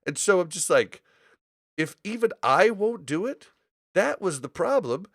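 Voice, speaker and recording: A man's voice: sits high, sing-song, measured pace, gravelly, a bit bright, no echo, studio quality. A man chatting casually on a gaming podcast, giving opinions in a rambling, storytelling way. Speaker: podcast host.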